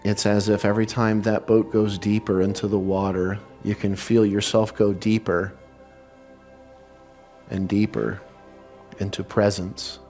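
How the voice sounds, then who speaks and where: A man guiding a meditation in slow, soothing, measured phrases with long pauses. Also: on the low side, little pitch movement, a little mellow, soft background music. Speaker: meditation leader and spiritual speaker.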